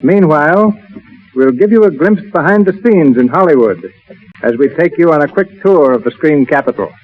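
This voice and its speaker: A man announces from a script in 1930s radio style. His voice sits slightly high. The recording is narrow and tinny with faint noise. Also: expressive, normal speed.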